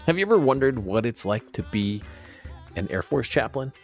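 A man's voice: mid-range voice, big pitch swings, normal speed, a little mellow, soft background music. A man hosting a radio show, speaking in a conversational interview style.

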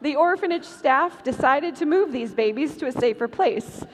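A woman with a fairly high voice reads a scripted story from a stage as a show host. Her voice carries long reverb in a large hall, with some background noise. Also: expressive, normal speed. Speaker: podcast host narrating on stage. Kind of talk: scripted narration in a live comedic stage show.

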